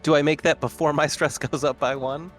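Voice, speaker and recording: A man's voice: fairly high pitch, steady tone, fairly quick. A man talking casually as a player in a tabletop roleplaying game. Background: background music.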